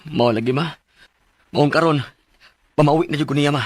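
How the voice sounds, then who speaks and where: An actor with a Cebuano Filipino accent playing an emotional, lovesick man in his thirties in a radio drama, with a husky voice. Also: on the high side, normal speed, no room sound, natural voice. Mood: earnest. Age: 30s. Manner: emotional, pleading, swooping delivery. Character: Manuel, lovesick pleading man of thirty-two, repentant cheater. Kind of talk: Philippine radio drama acting.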